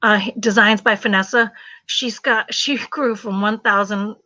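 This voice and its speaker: A woman's voice: medium pitch, measured pace, no echo, highs cut off. A woman with an American accent, hosting a live video chat and telling stories animatedly, with frequent laughs. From Southeast Asia. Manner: animated storyteller, laughs often. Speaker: host.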